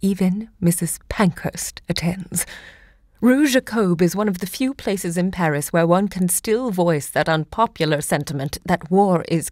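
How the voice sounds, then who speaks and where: An actress playing a grand, impassioned woman who hosts a literary salon, looking back in deliberate, theatrical monologue. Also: lowish voice, darkish tone, no echo, clean studio sound. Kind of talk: theatrical audio drama monologue.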